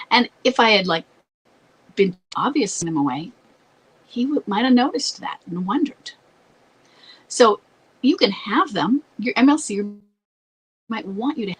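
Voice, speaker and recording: A woman hosting an advice talk, congested and scratchy as her voice gives out, explaining in a halting way. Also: medium pitch, a bit bright, slightly rough. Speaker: advice show host. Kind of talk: advice talk with read-out letters.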